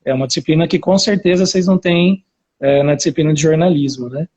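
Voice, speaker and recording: A man's voice: a little high, quick pace, a little mellow, lo-fi sound. A talkative man of around forty with an interior São Paulo Brazilian accent, telling his own story casually as a researcher being interviewed on a live video call. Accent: interior São Paulo Brazilian Portuguese. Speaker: academic researcher, interview guest.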